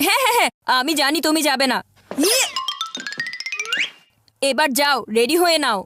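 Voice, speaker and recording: A woman's voice: high-pitched, big pitch swings, moderate pace, music in the background. A woman voicing a scheming, eager-to-please schoolboy in a children's cartoon, with a theatrical, wheedling delivery. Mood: exasperated. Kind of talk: children's cartoon dub acting.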